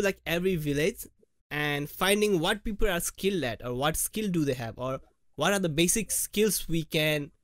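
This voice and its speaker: Young man, podcast host, slightly high voice, thoughtful and searching, close studio mic, dry sound. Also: dry recording. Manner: thoughtful, searching for words with "like" and "right?". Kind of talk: podcast interview. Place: home studio room. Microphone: large studio mic with headphones.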